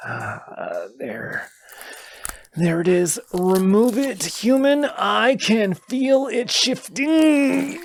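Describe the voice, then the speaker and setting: A man playing a proud, condescending wounded dragon in a fantasy audio roleplay, pompous and deliberate in his phrasing. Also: very high-pitched, animated, gravelly, slight background noise, exaggerated voice. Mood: pained, straining.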